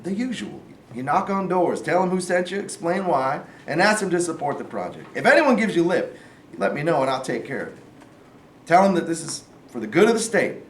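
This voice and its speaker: A man in a staged play reading, playing a gruff, scheming Southern man of authority with a folksy, commanding manner. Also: on the high side, very animated, fairly quick. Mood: firm, scheming. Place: live staged reading in a room. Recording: roomy, distant mic, faint noise.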